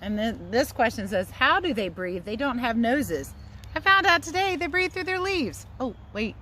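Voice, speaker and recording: A woman teacher giving a chatty, expressive read-aloud lesson for young children, speaking outdoors. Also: fairly high pitch, moderate pace, slight background noise. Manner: chatty, expressive asides and questions to listeners. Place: outdoors in a yard.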